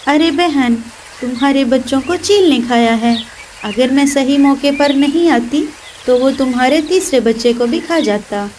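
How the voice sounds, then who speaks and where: A woman plays a plucky mother sparrow from a children's cartoon. The voice is high, earnest and pleading, protective of her chicks. Clear noise sits behind the recording. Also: a little slow, darkish tone. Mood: firm counsel. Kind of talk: Hindi children's cartoon dialogue.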